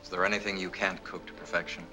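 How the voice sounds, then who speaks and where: An actor playing an earnest, lovestruck young American man, gentle and protective, in a 1940s Hollywood film. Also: sits low, level tone, fast talker, close to an everyday voice. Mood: tender, concerned. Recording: old film soundtrack, music behind.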